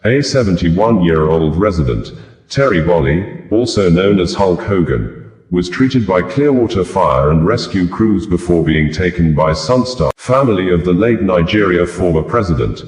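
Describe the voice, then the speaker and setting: Very deep-voiced man reading news, roomy sound. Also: lively intonation, normal speed, darkish tone. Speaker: news reader. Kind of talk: scripted news narration.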